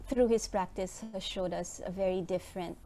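A middle-aged woman from the Philippines hosting a webinar on a video call, chatty and a little rushed, with fillers. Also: on the low side, steady tone, dark tone, slight background noise. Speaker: host. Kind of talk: introducing speakers on a webinar. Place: small room indoors. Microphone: video call with earphone mic.

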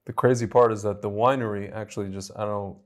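A young man, a wine importer guest, calmly and patiently explaining in a casual studio chat on a lapel mic. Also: fairly low pitch, fast. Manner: calm, explains patiently with small restarts. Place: studio set with vineyard backdrop.

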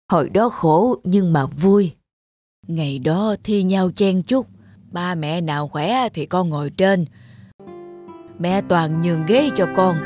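An actress voicing a gentle, frugal elderly country mother in an animated drama, homely and unhurried in manner. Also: lowish voice.

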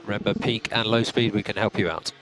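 A race engineer reads out gaps and setup advice in a calm, methodical voice of middle pitch. The sound is compressed team radio, and loud music plays over it. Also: male voice, fast. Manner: calm, methodical, clipped updates.

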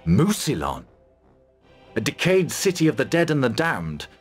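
An actor playing a bold, resolute mercenary commander in a video game cutscene, gruff and blunt in delivery. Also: male voice, mid-range voice, huge pitch swings, measured pace, faint music behind, full character voice.

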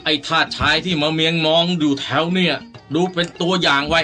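A forceful, sharp-edged nobleman, stern and imperious. His voice sits fairly high and bites at every order. He is a gruff, menacing father and master from a period radio play, with faint music behind him. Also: steady tone, brisk. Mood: grudging, threatening. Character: stern, possessive noble father and slave master, quick to threaten. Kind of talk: radio drama acting. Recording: studio radio drama with music.

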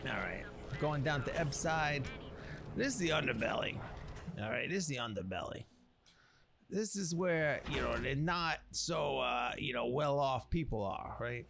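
Gravelly man telling tales in slangy talk. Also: medium pitch, expressive, measured pace, background noise. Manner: folksy slangy rambling, ungrammatical street talk. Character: streetwise storyteller recounting a local legend. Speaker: let's player narrating a roleplay story. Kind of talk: casual in-character storytelling over gameplay. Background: game music.

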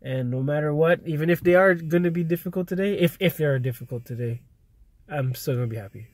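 A man's voice: on the high side, fast, dry recording. A man in his thirties with a stuffy, congested nose, tired, talking casually to a vlog camera in a car. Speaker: vlogger. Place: inside a parked car in daytime. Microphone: camera at close range.

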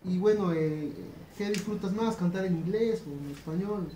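A woman's voice: on the low side, normal speed, dark tone. A woman who interviews a singer with friendly, affirming questions. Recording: echoey room with faint noise.

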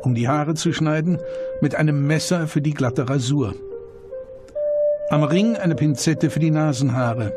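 Male narrator, fairly high voice. Deliberate, dramatic documentary storytelling over prominent, loud music. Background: loud background music.

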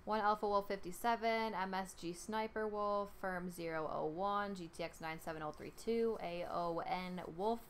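A woman's voice: mid-range voice, moderate pace, hardly any pauses, a little hiss or hum. A young woman with a New York accent, chatting casually on a live stream and reading out names in an informal way. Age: young adult. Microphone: headset.

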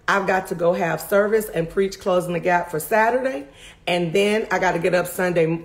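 A middle-aged woman preacher with a raspy voice, recapping a sermon to camera with fervent emphasis from a home study. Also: on the low side. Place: home study with bookshelves, indoors.

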